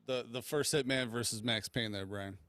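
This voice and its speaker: Smooth-voiced man hosting, rambling casually. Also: mid-range voice, moderate pace, fairly bright tone, dry recording. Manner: hesitant, rambling, unscripted. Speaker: podcast host. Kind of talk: casual moderated discussion.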